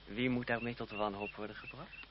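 A man's voice: mid-range voice, fairly even intonation, a little fast, lightly acted. Male actor for a mocking, lovestruck young aristocrat in old radio drama, eloquent delivery, muffled tape with some hiss. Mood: teasing, sardonic. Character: sardonic young French nobleman, smitten cousin, secretly jealous. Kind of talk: vintage Dutch radio play acting. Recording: dull, noisy old radio-play recording.

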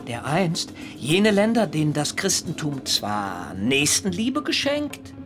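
Fervent, eloquent vegetarian zealot, swooping delivery. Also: male voice, a little high, wildly swooping pitch, takes it slowly, over music, exaggerated voice. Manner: eloquent, ornate, rhetorical. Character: earnest, zealous young German vegetarian and coconut prophet. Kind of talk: radio play acting.

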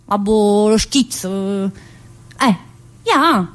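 Actress doing a chatty woman prisoner in a one woman play, bossy n needy, teasing, normal pitch. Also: lively intonation, drawn-out words. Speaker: actor in a solo stage monologue. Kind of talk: theatrical monologue.

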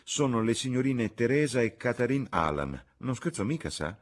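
An eager young gentlewoman voiced by a man in an audiobook. The voice sits higher than the reader's own and moves in lively swings, polite but insistent. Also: normal speed. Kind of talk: audiobook character voice.

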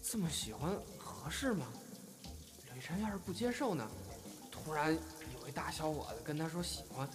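Actor as a cheeky, lively young northern Chinese man. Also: fairly high pitch, moderate pace, crowd noise, natural voice. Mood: troubled musing. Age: young adult. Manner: teasing, excitable. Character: playful, cheeky, love-struck young man. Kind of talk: audio drama acting.

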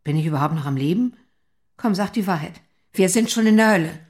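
A woman's voice: on the low side, expressive, a little fast, darkish tone, natural voice. A woman playing a distraught, guilt-ridden mother in a radio play, anguished and pleading. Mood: anguished, delusional.

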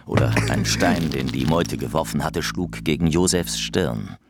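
Very deep male radio play narrator. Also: measured pace, vocal fry. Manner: terse, declarative sentences. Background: music.

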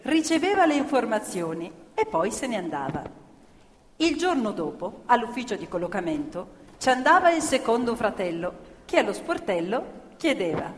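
A woman's voice: on the high side, very animated, normal speed, a little hiss or hum. An actress playing an earnest working-class woman, reciting and telling fables theatrically. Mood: wry storytelling. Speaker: stage actor and narrator. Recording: live hall with long reverb, music. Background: prominent live music.

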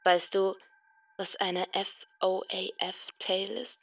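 A woman's voice: medium pitch, flat delivery, takes it slowly, gravelly, a bit bright, recorded from a distance, slight background noise. An actress playing a teasing, sceptical young woman in a radio drama, speaking tersely and mixing in English. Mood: challenging.

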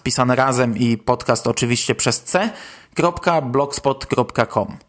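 A man hosting a podcast, presenting news with informal personal commentary. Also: medium pitch. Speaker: podcast host.

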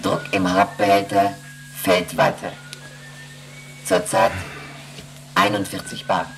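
An actress playing a female ship's computer in a German radio play, reciting emergency readings in a clipped, procedural monotone. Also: a bass voice, slow, soft background music, exaggerated voice. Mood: impassive, procedural.

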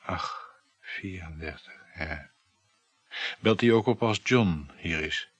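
An actor in a radio play, playing an insecure, jealous married lover who gives hesitant, short, mumbled replies. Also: male voice, deep voice, slow pace, husky, dry recording, full character voice. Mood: probing, uneasy. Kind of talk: naturalistic radio play acting.

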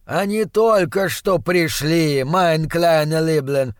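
A male narrator acts out a stocky elderly mentor for an audiobook. The old man is sentimental and full of feeling, speaking slowly in a lifted pitch. His comes with endearments and exclamations. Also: expressive, dry recording, clean studio sound. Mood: courteous, cheerful. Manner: exclaiming, emotional, sprinkles in German phrases. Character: stocky elderly Austrian scholar, effusive, sentimental, warm-hearted old mentor. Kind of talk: acted character in audiobook narration.

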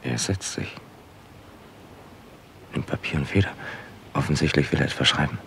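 An actor playing a furtive, patient investigator in a radio crime play, murmuring terse observations in a hushed voice, away from the microphone. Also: male voice, extremely low pitch, lively intonation, moderate pace, creaky, far from the mic, a little hiss or hum, heavily acted. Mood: hushed, watchful. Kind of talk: vintage German radio crime play acting.

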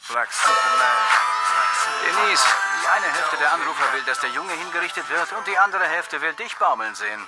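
An actor playing a wry, easygoing radio producer in casual radio drama dialogue. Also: male voice, a little high, animated, fairly quick, loud music behind the voice, close to an everyday voice, low-quality recording.

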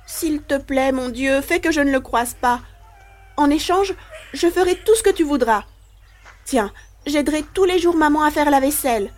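A woman's voice: high voice, animated, normal speed, darkish tone. A woman playing an ashamed, frightened young child in an audio drama, confessional and self-deprecating. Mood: fearful pleading. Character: ashamed, frightened young village boy who wets the bed. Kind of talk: dramatic monologue in an audio play.

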